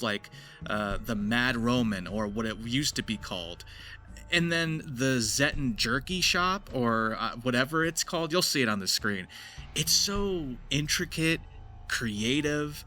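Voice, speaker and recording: A man's voice: mid-range voice, bright, forceful tone. A man giving effusive, emphatic video commentary as the host, with some swearing. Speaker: video host and amateur voice actor. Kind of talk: video essay commentary, semi-scripted. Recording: faint music under voice-over.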